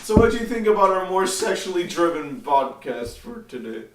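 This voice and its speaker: A man's voice: high voice, big pitch swings, moderate pace, background noise. A young man co-hosting a casual podcast, reading an article aloud and joking with frequent laughs. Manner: joking, laughs often, mock-reads quotes. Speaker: podcast co-host. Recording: echoey room, distant mic.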